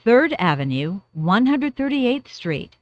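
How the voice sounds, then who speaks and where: A woman reading scripted transit announcements in a formal, clearly enunciated manner. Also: a little high, very animated, slow pace, no echo, muffled recording.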